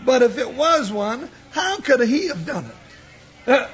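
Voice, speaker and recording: An actor playing a blustery, comical cowboy sidekick in an old radio western, with a squawky folksy Western American drawl. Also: male voice, very high voice, big pitch swings, slow pace, a bit bright, faint music behind, exaggerated voice. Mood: excitable, puzzled. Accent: American West. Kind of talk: 1950s radio western acting. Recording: muffled old radio recording, faint noise.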